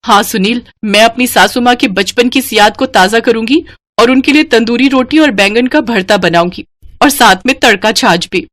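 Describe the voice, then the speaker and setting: A woman's voice: on the high side, normal speed, fairly bright tone, close to an everyday voice. A woman voicing an earnest young Indian wife who is easily exasperated, in a story. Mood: hopeful, pleased.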